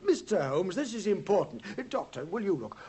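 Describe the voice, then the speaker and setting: An English actor playing a smug, sceptical, patronising police inspector in a 1950s radio drama. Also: male voice, high voice, sing-song, brisk, warm tone, slightly rough, heavily acted. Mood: smug, insistent. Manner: self-satisfied, patronising.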